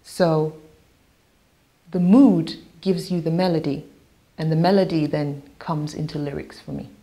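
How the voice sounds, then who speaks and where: A woman whose first language is Yoruba, a singer-songwriter talking thoughtfully in an interview, pausing with fillers and restarts. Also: deep voice, fairly even intonation, mellow. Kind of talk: interview talk.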